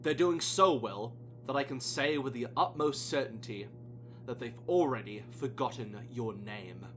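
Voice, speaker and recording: A man's voice: medium pitch, a little slow, a bit bright, faint music behind, voice pushed down, close to an everyday voice. A man playing a stern, blunt psychologist in an amateur audio play, reproachful and cutting. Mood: cold, vindictive. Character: stern, blunt, coldly taunting male psychologist.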